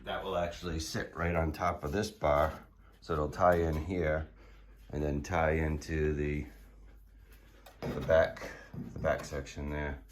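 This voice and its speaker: A hoarse man casually walking through his build in a garage workshop, explaining with many fillers and restarts. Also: extremely low pitch, flat delivery, unhurried, a little hiss or hum. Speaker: car builder showing his project.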